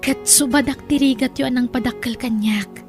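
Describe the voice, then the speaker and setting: A woman acts as a devoted daughter in radio drama. Her voice is high, tender and emotional, with faint music on the recording. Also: fairly even intonation, normal speed, a little mellow, natural voice. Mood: tender, grateful. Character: devoted, grateful, soft-hearted daughter and mother. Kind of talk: Ilocano radio drama acting.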